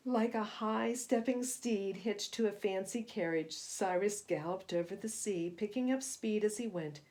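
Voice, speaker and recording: A woman reading a children's story aloud in an expressive, emphatic style, moving between hushed and booming delivery. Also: a little slow, poor audio. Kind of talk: expressive scripted storybook narration.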